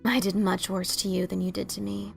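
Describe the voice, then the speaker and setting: A woman voicing a brash, adventurous pegasus mare in a theatrical, expressive fan fiction reading. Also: mid-range voice, brisk, creaky, soft background music. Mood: subdued. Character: brash adventurous pegasus mare, writer, bickering older sibling.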